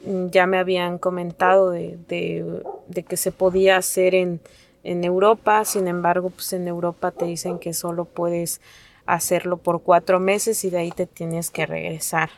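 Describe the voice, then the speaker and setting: A woman from Mexico whose first language is Nahuatl, speaking haltingly with many fillers and restarts. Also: fairly low pitch, little pitch movement, creaky, a little hiss or hum. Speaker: asylum seeker. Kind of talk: testimony to an interviewer.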